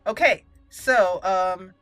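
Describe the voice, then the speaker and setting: A woman's voice: mid-range voice, slow pace, a bit bright, faint music behind. A woman giving casual, chatty video game commentary, talking through each step as she plays. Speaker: video game let's play host.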